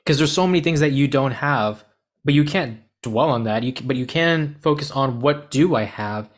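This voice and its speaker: A man's voice: medium pitch, normal speed. A man hosting an interview, asking long questions in a casual, rambling way and restarting himself as he goes. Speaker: podcast host.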